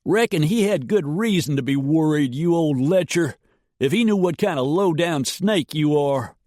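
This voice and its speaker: A man voicing a scornful frontier roughneck who yells in a folksy drawl. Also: fairly high pitch, animated, moderate pace, no room sound, clean studio sound, pitched above the performer's own voice, natural voice.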